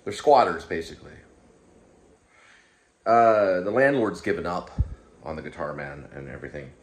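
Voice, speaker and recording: A man's voice: deep voice, moderate pace. A man giving casual solo commentary in a rambling, hesitant way, often circling back and repeating himself. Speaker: YouTube show host and amateur writer. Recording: steady background room noise.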